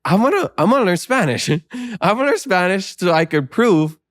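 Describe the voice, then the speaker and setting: A man's voice: high voice, big pitch swings, moderate pace, a bit bright, no room sound, studio quality. A young adult man from Hawaii, a native Hawaiian speaker, hosting a podcast in casual conversation, joking and telling stories about himself. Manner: joking, laughs often, reflective storytelling. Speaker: podcast host.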